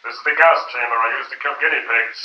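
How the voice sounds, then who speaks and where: A man's voice: mid-range voice, steady tone, fast talker, lightly acted. A man playing a brutish, hulking henchman who sneers and gloats, in a live old-time radio drama on stage. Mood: gloating. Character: brutish hulking male henchman chemist's assistant. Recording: roomy, distant, dull live stage recording.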